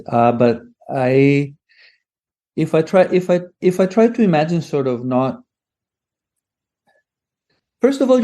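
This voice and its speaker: A man's voice: fairly high pitch, mellow. A man from Greece, a native Greek speaker, talking casually as a podcast guest, rambling with many restarts. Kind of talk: casual conversation.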